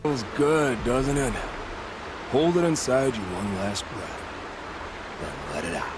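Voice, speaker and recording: An actor playing a cocky ex-soldier mercenary in a video game, who savors killing for sport in a smug, theatrical way. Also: male voice, medium pitch, animated, slow pace, loud music behind the voice, rough recording. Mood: sly, relishing. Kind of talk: video game character acting.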